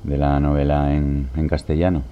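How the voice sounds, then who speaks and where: A man's voice: a bass voice, monotone, moderate pace, phone-quality sound, a little hiss or hum. A man interviewing an author, asking long questions hesitantly and repeating words as he goes.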